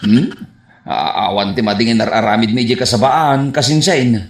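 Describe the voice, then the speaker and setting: A man with an Ilocano accent playing a gullible, lovestruck, excitable suitor in a comedy radio drama. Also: medium pitch, moderate pace, noisy background, close to an everyday voice. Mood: puzzled. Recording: roomy, echoing radio drama sound.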